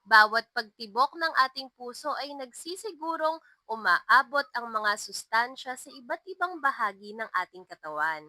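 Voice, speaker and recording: Kind, fondly teasing young schoolmistress played by a woman narrator, animated and bright, audio love story. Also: fairly high pitch, normal speed, no room sound. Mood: teaching. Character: kind, playful young female village schoolteacher.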